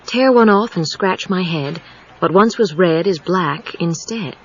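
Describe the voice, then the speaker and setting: An actress playing a poised, teasing psychiatrist in an audio drama, with a knowing, probing manner. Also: female voice, on the low side, measured pace, slightly rough. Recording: dull cassette audio with music.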